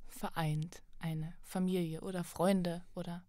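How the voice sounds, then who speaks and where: A woman's voice: fairly low pitch, level tone, unhurried, dark tone, dry recording. A young adult woman talking casually as a guest on a podcast. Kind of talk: casual chat.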